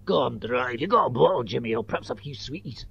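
A creaky, rambling Scotsman. He is matey and hesitant, with a swinging pitch. The tape is dry and slightly dull. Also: lively intonation, quick pace, a bit bright, exaggerated voice. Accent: Scottish. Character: talkative, rambling Scottish man, matey and cadging.

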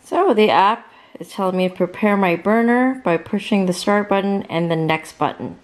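A woman hosting a cooking channel, casually demonstrating a gadget in her home kitchen, chatty and relaxed with small fillers. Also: medium pitch, normal speed. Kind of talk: casual product demo.

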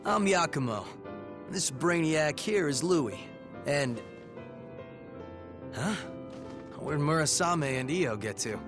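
A man's voice: a little high, lively intonation, slow pace, long pauses. An actor playing an easygoing, blunt and friendly young male fighter in a video game cutscene. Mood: friendly, upbeat. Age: young adult. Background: background music.

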